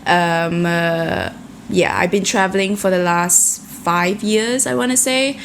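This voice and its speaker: A young woman from Malaysia talks as a guest in a casual podcast interview. She is friendly and chatty and often pauses with "um" and "uh". Faint background noise sits under her voice. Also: on the low side, gravelly, fairly bright tone. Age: young adult. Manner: friendly, chatty, many fillers.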